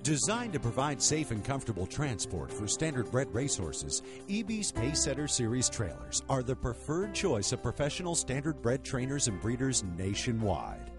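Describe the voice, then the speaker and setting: A man reading a scripted commercial in a smooth, measured way. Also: mid-range voice, sing-song, music in the background. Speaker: ad announcer.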